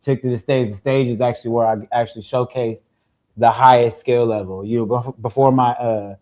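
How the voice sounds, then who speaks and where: A man's voice: medium pitch, steady tone, fairly quick, a little mellow. A young man, a rapper, telling his story in a laid-back, easygoing way during a casual interview. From Zimbabwe-born, raised in Seattle. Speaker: rapper guest.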